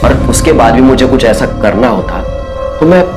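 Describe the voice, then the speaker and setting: A man's voice: medium pitch, animated, moderate pace, natural voice. An actor playing a defensive, street-wise small-time crook protesting his innocence in a TV crime drama. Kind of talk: Hindi TV crime drama acting. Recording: loud dramatic music behind.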